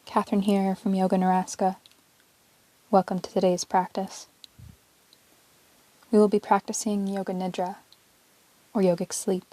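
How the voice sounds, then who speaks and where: A woman teaching yoga, guiding a relaxation meditation in a calm, measured, soothing way with long pauses between short phrases. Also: mid-range voice, no room sound, rough recording. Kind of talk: guided yoga nidra meditation, relaxation narration.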